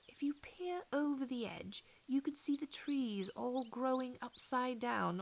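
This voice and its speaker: A woman's voice: medium pitch, vocal fry, slight background noise. A woman reading a classic storybook aloud as scripted audiobook narration. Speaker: audiobook reader.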